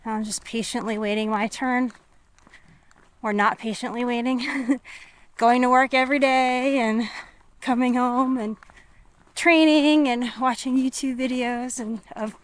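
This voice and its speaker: A woman chatting casually to viewers in an unscripted, musing way with trailing pauses, as a hiking vlogger recording outdoors. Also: fairly high pitch, steady tone. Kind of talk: casual vlog chat to viewers. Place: outdoors, on a walk and at a tent setup. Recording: noisy outdoor camera recording with natural ambience.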